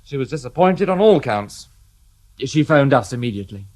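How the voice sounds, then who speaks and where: An actor playing a weary, dry-witted police detective inspector in a radio drama. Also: male voice, medium pitch, big pitch swings, moderate pace, a little mellow. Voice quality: dry, understated, wry.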